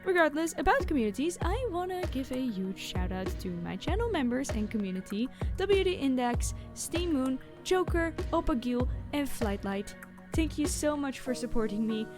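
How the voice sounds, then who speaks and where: A woman's voice: sits high, sing-song, moderate pace, music in the background. A woman speaking casually to her audience as a channel host. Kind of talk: casual outro to viewers.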